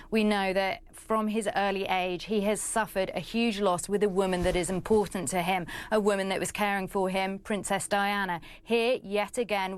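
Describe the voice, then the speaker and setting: A woman's voice: medium pitch, smooth. A woman with a British accent, speaking earnestly as a guest therapist on a news panel. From Britain.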